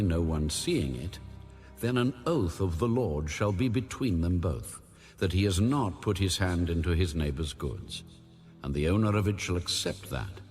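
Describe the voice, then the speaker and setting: Deep solemn man narrating scripture over music. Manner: solemn, measured, formal. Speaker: audio Bible narrator. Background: music under the voice.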